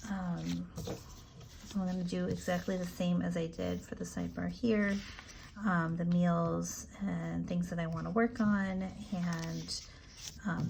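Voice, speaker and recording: Slow, gravelly-voiced woman chatting while planning. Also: fairly low pitch, background noise. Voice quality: raspy. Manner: slow, relaxed, chatty with frequent ums and asides. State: hoarse from allergies. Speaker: planner vlogger. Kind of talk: casual plan-with-me narration.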